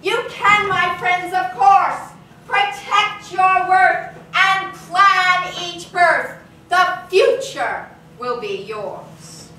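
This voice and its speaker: An actress playing an earnest, defiant women's rights campaigner, orating with deliberate emphasis in a staged reading. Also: female voice, very high-pitched, sharp tone, full character voice. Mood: earnest, rousing. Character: Margaret Sanger, earnest defiant birth-control campaigner addressing a rally. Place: small theater, live staged reading. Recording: echoey theater, distant mic, faint noise.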